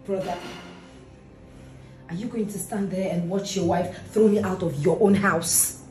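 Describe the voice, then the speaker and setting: A woman's voice: on the low side, fairly even intonation, a little slow, a little mellow, lightly acted. A woman playing a haughty sister-in-law who complains that her food was not served. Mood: pleading. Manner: indignant, questioning, haughty. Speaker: husband's sister in a skit. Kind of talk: family quarrel skit. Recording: echoey room with music.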